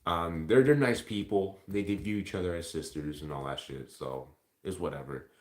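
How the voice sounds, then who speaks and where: A man's voice: sits low, moderate pace. A man chatting casually on a livestream, rambling and swearing heavily as he replies to comments. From Ontario, Canada. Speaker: live streamer. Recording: echoey room sound.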